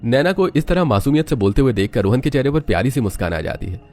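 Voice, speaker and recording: A man's voice: mid-range voice, very animated, fast, darkish tone, faint music behind. A man reading scripted narration for a moral family story.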